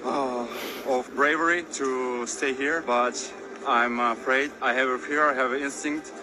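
Guy talking to a reporter outside, scared and halting, loud traffic behind him. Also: mid-range voice, steady tone. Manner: halting, fearful, simple non-native English. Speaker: interviewed resident fleeing a city. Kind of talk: news street interview. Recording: noisy outdoor news clip with traffic.

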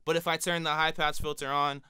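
Young male home-studio vlogger with a cold, higher-pitched, very clear voice, dry close condenser sound, chatty and relaxed. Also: fairly quick, no room sound. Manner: relaxed, chatty, addresses viewers directly. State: has a cold. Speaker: music producer reviewing a microphone. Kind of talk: casual product review vlog. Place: small home studio with acoustic foam. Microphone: studio condenser mic on stand in front of him.

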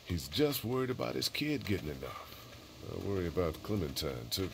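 A man with an American accent and a gravelly voice, playing a weary, protective survivor in a video game. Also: low-pitched, big pitch swings, moderate pace, a little hiss or hum, full character voice. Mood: weary, steady. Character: weary, protective survivor, calm leader of men.

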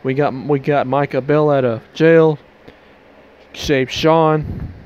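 A man's voice: on the high side, fairly even intonation, takes it slowly, smooth, muffled recording. A young man with a headset mic, rambling casually as he wraps up a game stream in a small bedroom. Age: young adult. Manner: rambling, loose restarts, Southern y'all. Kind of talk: casual stream outro to camera.

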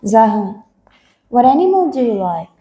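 A woman's voice: a little high, sing-song, normal speed, dark tone, reverberant. A woman in her thirties teaching English to a small child one-on-one, patiently sounding out words letter by letter in a small classroom, with a clip-on mic. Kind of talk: one-on-one phonics lesson with a young child. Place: small classroom with a world map on the wall.

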